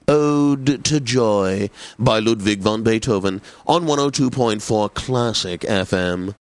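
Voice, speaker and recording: A man's voice: medium pitch, no room sound, close to an everyday voice. Pompous classical radio DJ played by a man, dry studio sound. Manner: pompous, theatrical, deliberate enunciation. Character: pompous, snobbish, condescending classical radio host. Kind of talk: scripted radio announcing.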